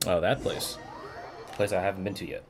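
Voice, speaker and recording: A man's voice: low-pitched, fairly even intonation, normal speed, music in the background. A man giving casual gaming commentary as a streamer, in an offhand, slangy, sweary way.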